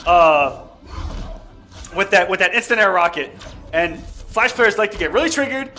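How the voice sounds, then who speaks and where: A man doing excitable play-by-play commentary with technical breakdowns of a fighting game. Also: high voice, moderate pace. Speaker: esports commentator.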